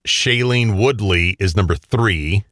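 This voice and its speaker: A man's voice: lowish voice, slow, fairly bright tone, no room sound, studio quality. A man co-hosting a radio show, bantering casually as he reads out countdown lists.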